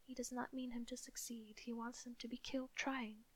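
A desperate, tearful mother begging for her son's life , voiced by a woman in a whisper. The voice is breathy and husky, sitting somewhat high. This is a storybook reading. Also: little pitch movement, moderate pace, lightly acted. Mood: pleading, tearful. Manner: whispered, pleading.

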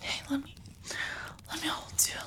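A woman voicing an anxious, devoted young female vampire who confesses her love in a soft, hesitant whisper, in an intimate audio roleplay. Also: moderate pace, close to an everyday voice. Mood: tender, confessing.

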